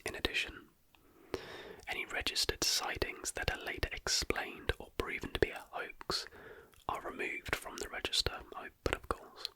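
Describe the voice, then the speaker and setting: An ASMR host reads a scripted narration entirely in a whisper. The sound is bright and airy, with no full voice at all. The delivery stays soft throughout. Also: normal speed, low-quality recording.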